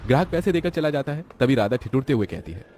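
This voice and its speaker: A man's voice: mid-range voice, animated, fast, a little mellow, slight background noise. A man narrating a scripted children's moral story in a dramatic way.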